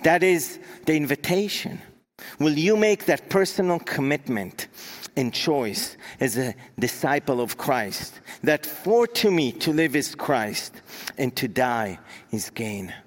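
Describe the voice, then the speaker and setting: A man's voice: mid-range voice, sing-song, slow pace. A young man from Israel with an Israeli accent, a native Hebrew speaker, preaching an earnest sermon with emphatic repetition as a guest preacher.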